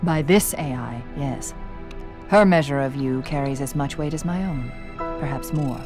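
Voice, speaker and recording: An actress playing a grave, imperious older female scientist in a video game, with measured, formal, authoritative diction. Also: extremely low pitch, a little mellow. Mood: solemn, commanding. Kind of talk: video game cutscene acting. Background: orchestral game music.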